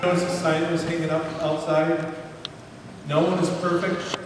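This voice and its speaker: A man's voice: fairly high pitch, flat delivery, normal speed, over music. A man giving a motivational talk to young students, often tossing questions at them and checking in with a "right?". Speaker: speaker addressing students. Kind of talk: motivational talk to eighth graders. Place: large echoey room with an audience. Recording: echoey hall, far from mic, faint noise.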